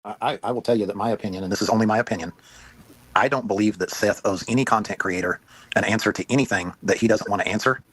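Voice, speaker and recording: A man's voice: on the low side, quick pace, a bit bright, slightly rough, dry recording, poor audio. A man talking as a panelist in a casual online discussion.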